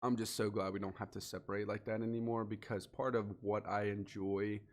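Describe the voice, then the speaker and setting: Low-voiced sniffly man giving motivational talk. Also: level tone, fairly quick. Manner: earnest, direct, speaks to the listener. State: sniffly, congested from allergies. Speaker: podcast host. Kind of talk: solo motivational podcast monologue.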